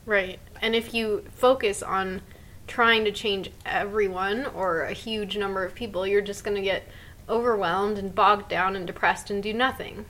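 A woman in her thirties talks in a casual, earnest way. She sounds upbeat and keeps slipping "like" into her sentences as she co-hosts a relaxed conversation. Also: medium pitch, normal speed, rough recording. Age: 30s. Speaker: podcast co-host. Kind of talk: casual chat.

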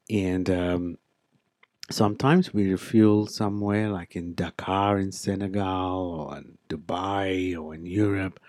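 A middle-aged man from South Africa, Afrikaans his first language, speaking with a South African accent and patiently explaining things to children, slowly and with frequent ums. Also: low voice, a little mellow, no room sound, studio quality. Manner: slow, careful, with frequent ums. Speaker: interviewed guest.

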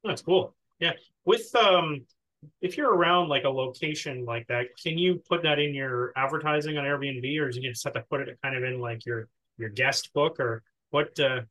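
A man with a bright, forward voice at a medium pitch. He hosts a casual interview, putting questions in an informal, curious and loosely phrased manner. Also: moderate pace, low-quality recording. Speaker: podcast host.

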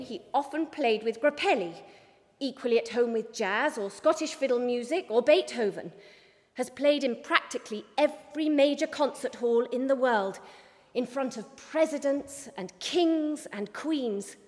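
A woman's voice: sits high, measured pace, darkish tone, in a large hall. Woman playing an eager young cub reporter. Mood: eager, informed. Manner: tentative, hesitant, eager to please. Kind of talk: audiobook character voice.

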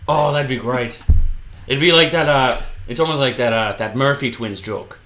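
A man's voice: medium pitch, normal speed, gravelly, echoey room, slight background noise. A man co-hosting a podcast, chatting casually about a movie and telling jokes with lots of fillers. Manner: animated, loose joke-telling with fillers. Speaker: podcast co-host.

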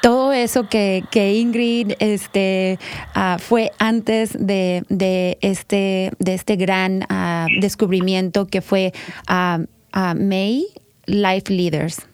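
A woman hosting a podcast, casually introducing and interviewing in a halting way full of filler words. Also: medium pitch, steady tone, slight background noise. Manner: halting, filler-heavy delivery. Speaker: podcast host.

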